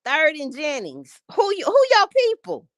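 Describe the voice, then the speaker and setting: Woman in her late fifties, from Gary Indiana, lively chatty livestream host, high voice, dry close sound. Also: unhurried, dry recording. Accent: Northern Indiana, Gary. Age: 50s. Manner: chatty, animated, laughs often, talks to her viewers. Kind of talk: casual livestream chat.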